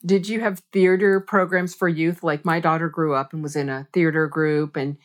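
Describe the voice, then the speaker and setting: A woman's voice: low-pitched, steady tone, normal speed. A middle-aged woman from the United States hosting a podcast interview, chatty and enthusiastic. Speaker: podcast host. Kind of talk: casual interview chat.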